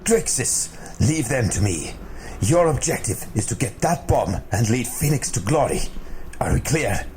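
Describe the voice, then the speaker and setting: A young man acts out a stern male commander of a besieged refuge, barking formal, clipped orders to his squad in a homemade radio play. His pitch rises and falls a lot as he speaks, and a faint hiss sits under his voice. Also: big pitch swings, a little slow, a little mellow. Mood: commanding. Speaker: commanding officer. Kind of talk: amateur radio drama acting.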